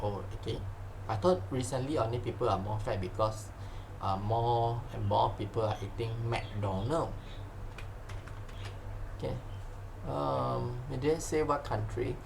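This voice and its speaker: A man's voice: mid-range voice, unhurried, creaky, pauses often, noisy background. A man with a Malaysian accent teaching science informally, repeating phrases and tagging sentences with "lah" and "huh". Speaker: science tutor. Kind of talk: informal exam-question lesson.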